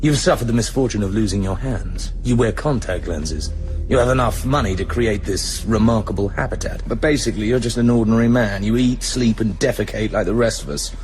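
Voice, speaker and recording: A man's voice: lowish voice, a little fast, background noise, close to an everyday voice. A man playing a cool, defiant British secret agent in a radio drama, speaking bluntly and with assurance. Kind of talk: broadcast radio drama acting.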